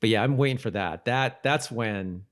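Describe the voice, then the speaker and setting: A man co-hosting a podcast, chatting casually about a TV show in a halting, hedging way. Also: fairly low pitch. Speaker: podcast co-host.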